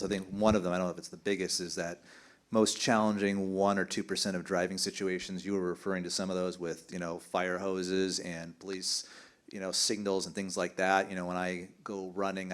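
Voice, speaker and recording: A man on a conference panel speaks with a very deep, flat voice and little rise or fall. He talks casually, as in conversation, and leans on everyday examples. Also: fairly quick.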